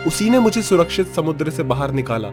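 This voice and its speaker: Higher-pitched, intense young fisherman played by a man. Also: sing-song, a little fast, music in the background. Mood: doubtful. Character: young fisherman, bitter, suspicious, stubborn grandson. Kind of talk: dramatised Hindi cartoon story dialogue.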